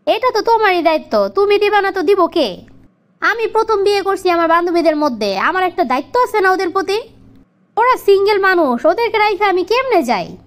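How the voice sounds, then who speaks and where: A woman's voice: very high voice, sing-song, fairly quick. A woman voicing a bossy, argumentative young wife in a comic cartoon, with a Bangladeshi accent and colloquial scolding delivery. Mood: indignant. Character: bossy, demanding young wife devoted to her girlfriends.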